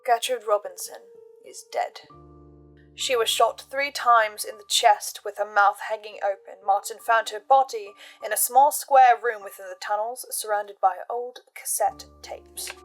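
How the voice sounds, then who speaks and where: A woman hosting a recap show, laying out her notes and theories in a deliberate, measured way with dramatic pauses. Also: mid-range voice, fairly even intonation, faint music behind. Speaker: host of a podcast listener's reaction and theory show.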